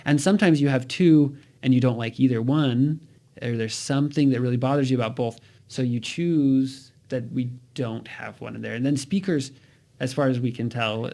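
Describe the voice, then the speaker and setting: Gravelly-voiced man explaining like a teacher. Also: medium pitch, a little mellow. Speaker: linguistics expert guest. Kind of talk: conversational explaining with examples.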